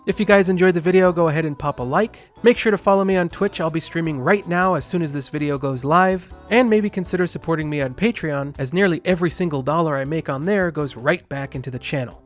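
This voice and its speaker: A man delivering scripted video-essay narration as the host of a film review. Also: on the high side.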